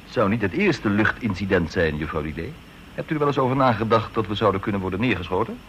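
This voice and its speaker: An actor playing an inquisitive, needling army major in a radio drama, probing and insinuating, on a dull, noisy old recording. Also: male voice, on the low side, animated, fast talker, a bit bright.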